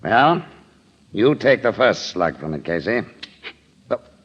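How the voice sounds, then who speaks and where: An actor playing a cold-blooded, smug, commanding gangster killer in an old-time radio crime drama. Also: male voice, lowish voice, sing-song, takes it slowly, a little hiss or hum. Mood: gloating menace. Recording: dull vintage radio recording.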